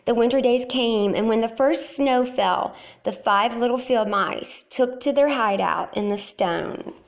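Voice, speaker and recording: A woman storyteller reading a children's picture book aloud in a deliberate, expressive way. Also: a little high.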